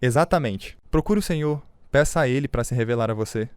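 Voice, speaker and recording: Actor as clear-voiced Brazilian spiritual adviser. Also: male voice, mid-range voice, brisk, dry recording, faint music behind, close to an everyday voice. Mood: assured. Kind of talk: radio drama dialogue.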